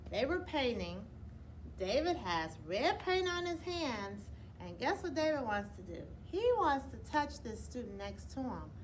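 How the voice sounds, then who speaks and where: A woman teacher reading a picture book aloud to children, explaining gently and often checking in with "right?". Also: on the high side. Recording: clear background noise.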